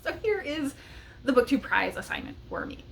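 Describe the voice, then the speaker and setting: A woman's voice: high voice, big pitch swings, normal speed, vocal fry, fairly bright tone. A woman chatting casually and enthusiastically to camera as a book vlogger, with small giggles breaking into her talk. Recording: noticeable background noise.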